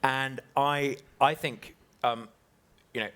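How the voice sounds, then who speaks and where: A man's voice: medium pitch, fairly even intonation, takes it slowly, fairly bright tone, clear, resonant tone. A confident man from Britain making his case on a conference panel. He stumbles into stammered restarts as he talks, and his voice sits mid-range. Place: conference hall.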